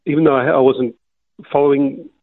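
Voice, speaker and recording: A man's voice: mid-range voice, steady tone, fairly quick, no echo. An Australian man in his sixties from Melbourne, telling his life story as an interview guest with many fillers and restarts. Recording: dry, tinny phone-like line.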